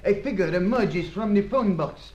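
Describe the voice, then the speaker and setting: A high-voiced henchman. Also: animated, normal speed, darkish tone, slightly rough, lo-fi sound. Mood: eager, deferential. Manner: formal, servile phrasing. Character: superstitious South American henchman, deferential but ominous. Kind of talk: old-fashioned radio spy serial acting.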